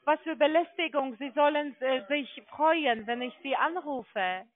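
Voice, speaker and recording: Female telesales caller, high-pitched and argumentative, on a thin phone line. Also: measured pace. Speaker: telephone sales caller. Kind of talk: cold-call conversation. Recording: tinny narrowband phone line.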